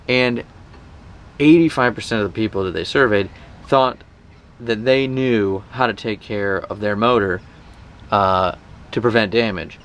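A man in his thirties hosting a vlog, chatting informally to camera in a casual, animated explainer style outdoors. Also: fairly low pitch. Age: 30s. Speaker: boating vlog host. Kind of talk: casual sponsored talk to camera. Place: outdoors in a boat cockpit at a marina. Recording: faint outdoor marina background noise.

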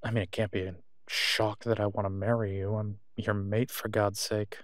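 A man playing a tired, devoted and protective werewolf in an intimate audio drama phone call, speaking in a halting, reassuring way. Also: on the low side, flat delivery, no echo, clean studio sound, close to an everyday voice. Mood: tender, wistful.

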